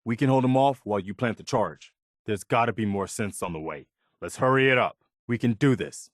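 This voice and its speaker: An actor playing an earnest, loyal militia lieutenant in a video game, sincere, respectful and soldierly. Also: male voice, fairly low pitch, a little fast, no room sound, clean studio sound, natural voice. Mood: urgent, focused. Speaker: companion character in a video game.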